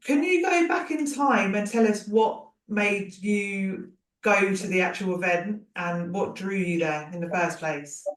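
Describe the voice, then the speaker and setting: A woman's voice: medium pitch, measured pace. Chatty woman host, roomy and distant. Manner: chatty and blunt. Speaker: podcast host. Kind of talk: casual podcast chat.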